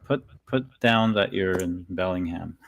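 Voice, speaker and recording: A man's voice: low-pitched, unhurried, creaky, no echo. A middle-aged man from Poland chatting casually with enthusiastic emphasis and informal wording. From born in Poland. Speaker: guest speaker and software practitioner. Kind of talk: casual chat.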